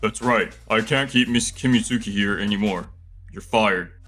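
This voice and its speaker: A man playing a stern, principled company president in an animated manga dub, admonishing, with music behind him. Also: on the low side, moderate pace, natural voice. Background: background music.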